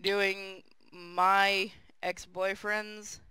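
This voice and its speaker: A woman's voice: medium pitch, flat delivery, extremely slow, bright, forceful tone, clear, resonant tone. A woman hosting a live stream, chatting casually with her viewers and co-host.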